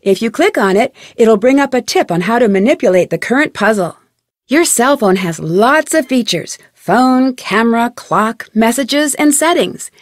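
A woman's voice: a little high, animated, normal speed, no echo, clean studio sound, close to an everyday voice. A woman playing a curious, friendly young amateur detective in a video game, with upbeat, conversational scripted delivery. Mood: friendly, instructive. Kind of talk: scripted video game dialogue and tutorial narration.

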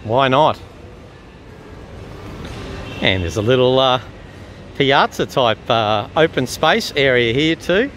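A man giving casual, unhurried walk-around commentary as a travel vlogger, chatting about what he observes. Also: medium pitch, animated, fairly bright tone. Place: walking around an open-air shopping mall. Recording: outdoor urban and traffic noise.

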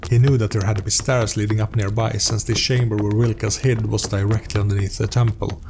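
A man's voice: lowish voice, level tone, fairly quick, noisy background. A man narrating a fantasy story in a scripted audiobook style, as its host. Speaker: narrator and host of a role-playing story series.

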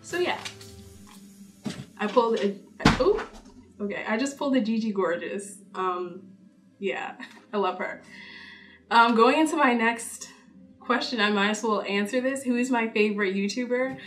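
A young woman in her twenties chats to the camera in a relaxed, upbeat way, peppering her talk with "like". She sits a little back from the mic in an indoor room, and soft music plays faintly underneath. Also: a little high, a little slow, pauses often, distant mic. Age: 20s. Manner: chatty, upbeat, many "like" fillers. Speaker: YouTuber. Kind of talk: casual get-to-know-me vlog. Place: indoor room, seated before purple backdrop.

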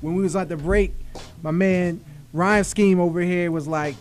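A man's voice: high voice, fairly even intonation, normal speed, darkish tone, soft background music. An American man hosting a casual radio interview from a studio mic, talking in hyped slang. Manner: slangy, hype, frequent "you know what I'm saying". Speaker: radio show host. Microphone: studio mic on boom arm.

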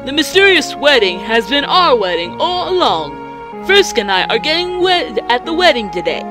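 A woman's voice: high voice, very animated, moderate pace, over music. A woman voicing a smug, teasing cartoon skeleton brother with dramatic delivery in a fan comic dub.

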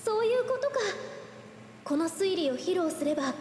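A woman's voice: very high-pitched, big pitch swings, moderate pace, darkish tone, slight background noise. An actress playing a shy, earnest, naive young woman painter in an anime game, speaking politely and hesitantly. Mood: eager. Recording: background music under the voice.

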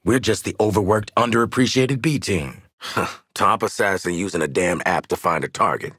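An actor playing a cocky, world-weary assassin in a video game, with a raspy American voice and a brash, streetwise, wisecracking manner. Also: male voice, low voice, moderate pace, a bit bright, no room sound, clean studio sound. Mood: cocky, wry.

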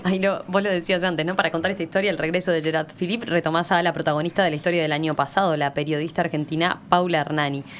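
A woman interviews as a radio host. She speaks very quickly in a slightly low voice, with some noise around her. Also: fairly even intonation. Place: small radio studio.

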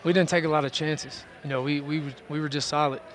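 A man in his forties speaks through a headset boom mic at courtside after a game. His pitch barely moves, so he sounds flat and even, and he circles his points with repeated starts in a steady, level way. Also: fast. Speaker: head basketball coach. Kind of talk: post-game interview. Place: arena courtside seats.

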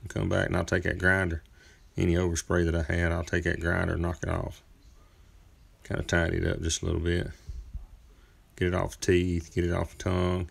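Gravelly deep-voiced laid-back man. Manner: laid-back, folksy, rambling with fillers. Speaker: chainsaw carver. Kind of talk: casual workshop narration. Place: outdoor driveway workspace.

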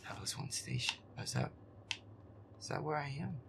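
A man playing a feisty, profane young werewolf boyfriend muttering jumpily through a scary video game in a breathy, hushed voice. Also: fairly high pitch, normal speed, heavy background noise. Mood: uneasy muttering. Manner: profane, irritable, jumpy muttering. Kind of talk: improvised-sounding gaming commentary, audio roleplay.

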